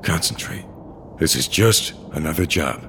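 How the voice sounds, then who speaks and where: An actor playing a weary, bitter old courier, a gruff and sardonic loner, in a noir science-fiction audio drama. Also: male voice, very deep voice, lively intonation, measured pace, sharp tone, a little hiss or hum, exaggerated voice. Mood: brooding, regretful. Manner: brooding, world-weary, sardonic. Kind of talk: first-person noir audio drama acting.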